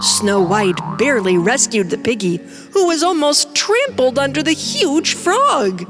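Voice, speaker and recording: A woman narrates a children's fairy tale in a high, animated voice. She takes her time with each sentence. Music plays clearly behind her. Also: measured pace.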